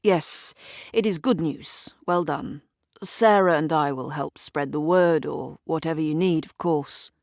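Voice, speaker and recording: A woman's voice: low-pitched, a little slow, a little mellow, dry recording. A woman puts on a guarded, intense man in an audio drama. He speaks with stiff courtesy, terse beneath the manners. The recording is dry and close. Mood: strained politeness.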